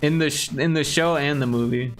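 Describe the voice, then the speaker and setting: A man's voice: fairly high pitch, brisk, soft background music. Jokey Chicago-accented man streaming. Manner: joking, chuckling, chatty with chat. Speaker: livestream host. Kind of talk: casual livestream chat.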